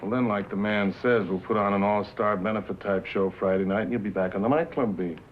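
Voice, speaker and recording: An American man acting as a brisk, pushy, persuasive show-business manager in a 1950s film drama. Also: on the low side, lightly acted. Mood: brisk, bossy. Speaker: actor in a feature film. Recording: dull vintage film soundtrack, faint noise.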